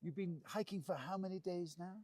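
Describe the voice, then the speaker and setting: A woman hosting a travel documentary, chatting and interviewing people outdoors on a mountain trek, quick to encourage and affirm. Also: lowish voice, a little mellow, dry recording. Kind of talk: casual on-camera interviewing.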